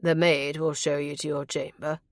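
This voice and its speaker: A woman voicing a commanding, troubled male aristocrat in an audiobook, formal, stern and deliberate. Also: deep voice, level tone, no echo, studio quality, lightly acted. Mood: stern, emphatic. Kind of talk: audiobook character voice.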